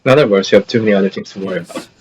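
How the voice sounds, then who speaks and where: A man's voice: fairly low pitch, very fast, darkish tone, slight background noise. A man giving casual live commentary as he plays a video game. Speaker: game streamer. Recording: game music behind the voice.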